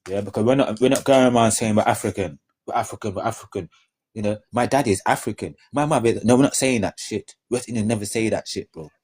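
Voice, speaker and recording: A man, lecturing rapidly and repetitively as host of a heated live stream debate. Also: fairly low pitch, a little mellow, breathy edge. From Caribbean heritage.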